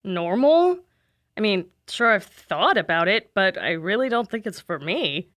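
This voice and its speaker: A woman's voice: medium pitch, very animated, a little slow, fairly bright tone. A woman playing a proud, exasperated teenage girl monster hunter in an audio drama. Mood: defensive. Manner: exasperated, sarcastic.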